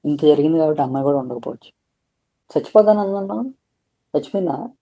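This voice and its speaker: Guy on a recorded phone call, very dark mellow voice, slightly high, rambling and confessional, kinda crude. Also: expressive, fast. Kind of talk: informal private conversation.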